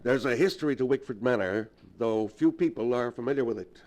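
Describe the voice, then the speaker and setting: Casting: man as solemn learned doctor, mid-range voice, ominous measured storyteller for vintage radio horror. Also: medium pitch, darkish tone, close to an everyday voice. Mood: grave, explaining. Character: grave, learned doctor versed in the supernatural. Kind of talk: 1940s radio acting.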